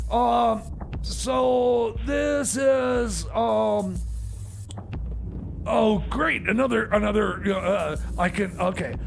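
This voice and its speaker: A male radio host with a high, creaky voice, talking slowly and haltingly. He stammers and grumbles through his ad-libbed music patter, sounding flustered. A little noise sits behind him.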